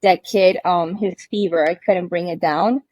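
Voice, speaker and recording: A woman's voice: on the low side, fairly even intonation, a little slow, darkish tone, dry recording. A woman from Cuba, a cabin rental owner, talking casually as a guest, laughing often and restarting her sentences. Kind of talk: casual conversational interview. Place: inside a cabin under renovation.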